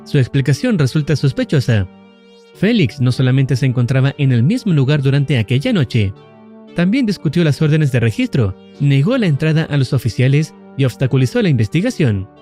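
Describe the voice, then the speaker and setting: A man narrating a scripted analysis of a horror series in a measured, explanatory way. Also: medium pitch, dark tone. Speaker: lore channel narrator.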